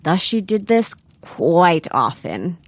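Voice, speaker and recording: Lively, lowish-voiced woman narrator. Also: slow pace, no echo. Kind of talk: scripted comedic audio drama narration.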